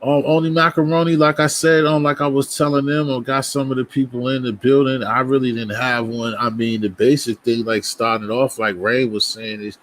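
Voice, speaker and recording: A man's voice: medium pitch, flat delivery, a little fast, hardly any pauses, fairly bright tone, no room sound. A man from Boston with a Boston accent talks to his viewers on a casual livestream. His speech rambles and restarts as he greets people and shoots the breeze. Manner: loose, rambling talk to viewers, frequent restarts. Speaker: livestream host.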